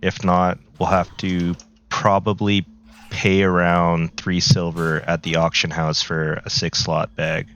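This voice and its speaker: A man casually talking through a game as a video creator, relaxed and rambling with many fillers, his voice a bit worn from a recent flu. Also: low-pitched, slow. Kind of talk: casual gameplay commentary. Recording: slightly dull, lo-fi audio.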